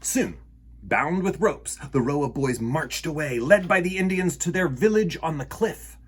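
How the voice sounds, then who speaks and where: Husky, highly animated male storyteller. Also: a little slow. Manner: theatrical, with acted sound words. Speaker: children's storyteller.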